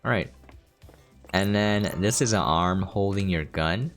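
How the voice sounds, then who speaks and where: A man's voice: sits low, unhurried. A man giving casual, explanatory gameplay commentary, talking informally to his viewers. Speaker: game streamer giving a preview. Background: game music.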